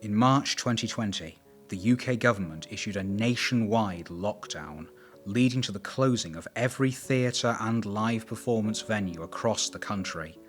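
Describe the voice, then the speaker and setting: A man's voice: lowish voice, moderate pace, soft background music. A man reading scripted documentary narration.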